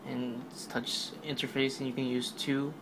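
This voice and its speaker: Casual male narrator, monotone, rambling, noisy home voice-over. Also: mid-range voice, moderate pace. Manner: offhand, rambling, filler-heavy. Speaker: tech video narrator. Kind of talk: casual voice-over recap of a keynote.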